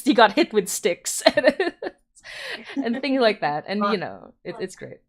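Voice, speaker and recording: A woman's voice: mid-range voice, sing-song, unhurried. A woman telling an anecdote in an enthusiastic, gushing way in casual chat.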